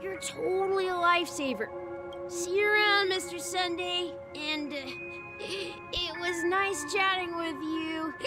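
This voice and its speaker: A blissfully carefree game character. The voice is extremely high and drawn out, casual and dreamy. Music is clearly heard underneath. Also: female voice, steady tone, unhurried. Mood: grateful, casual. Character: blissfully carefree, easygoing dream-city reveller. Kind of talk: video game voice acting. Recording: music playing behind the voice.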